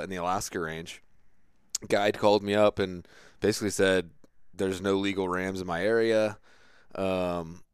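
A man's voice: sits low, smooth, no room sound, clean studio sound. A man in his thirties hosting a podcast, asking long, rambling questions full of fillers. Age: 30s. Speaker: podcast host. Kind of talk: casual podcast interview.

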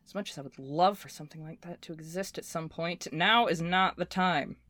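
A woman playing a scrappy, intense inventor recording a personal log in an audio drama, talking in a halting, volatile way. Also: on the low side, a bit bright, no room sound, clean studio sound. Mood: determined. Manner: swearing, halting, swinging from muttering to fervent.

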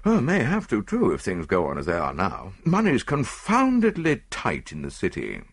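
Man acting a blustering rich stockbroker, very animated. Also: lowish voice, moderate pace, slightly rough, dry recording, exaggerated voice. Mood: smug, grumbling. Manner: rambling, clipped phrases. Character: rich, self-satisfied, blustering male stockbroker.

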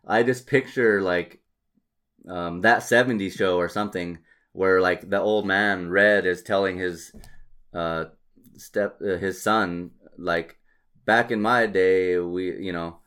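A middle-aged man talking candidly and casually, in a halting, reflective way with frequent pauses. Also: low voice, fairly bright tone.

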